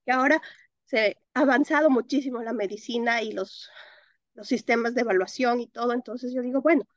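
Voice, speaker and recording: Expressive Ecuadorian woman, interview guest. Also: on the high side, brisk, dry recording, dull audio. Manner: expressive, laughs often, mixes in English words. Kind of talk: interview answers.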